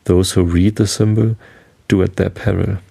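A man with a German accent, a native German speaker, hosting a sleep podcast and reading a book aloud in a slow, calm, halting way with small slips. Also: low voice, vocal fry, breathy edge.

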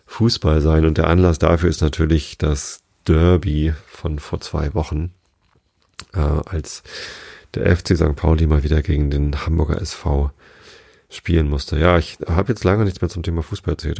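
A very deep-voiced man, gravelly and hoarse, chatting slowly and loosely on his own sleep podcast. His tone is dark and mellow, with plenty of fillers as he rambles along. The room sounds dry and close. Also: dry recording. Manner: rambling, relaxed, many fillers and restarts. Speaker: podcast host. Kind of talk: casual sleep podcast chat.

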